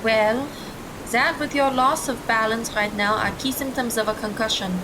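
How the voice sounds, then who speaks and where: A woman playing a careful, matter-of-fact teenage girl in an audio drama with rumbling noise. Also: fairly even intonation, moderate pace, close to an everyday voice. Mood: concerned. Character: sensible, careful teenage girl, former hockey player.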